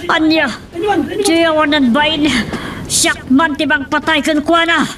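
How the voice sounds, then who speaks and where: A woman's voice: high voice, steady tone, takes it slowly, fairly bright tone, distant mic, slight background noise. A woman with an Ilocano accent playing a haughty middle-aged hacienda lady and mother in a radio drama. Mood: amused, mocking.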